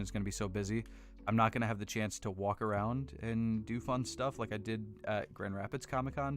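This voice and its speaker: Deep-voiced American man chatting on livestream. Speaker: livestream host building model kits. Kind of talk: casual chat with live viewers. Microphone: close desk condenser microphone.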